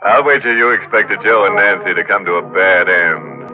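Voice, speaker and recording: Actor playing droll macabre mystery host, deep male voice, teasing, vintage radio, music behind, dull band-limited sound. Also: natural voice. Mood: sly, teasing. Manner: wry, teasing, deliberate, pun-loving. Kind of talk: 1940s radio acting.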